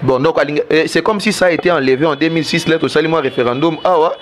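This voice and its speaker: A man's voice: fairly high pitch, fast talker, a little hiss or hum. A Congolese man hosting a political interview, putting long, hesitant questions to his guest. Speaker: host, interviewer.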